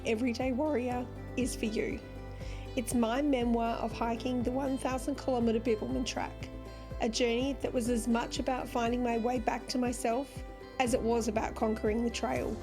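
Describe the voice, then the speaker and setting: Woman host with music behind. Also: on the high side. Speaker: podcast host. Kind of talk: scripted intro then interview.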